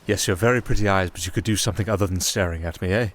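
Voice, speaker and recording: A man's voice: low voice, racing, lightly acted. A man acting a nervous, rambling young castaway musician with a sardonic streak, in a fantasy ASMR roleplay. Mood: wary, sardonic.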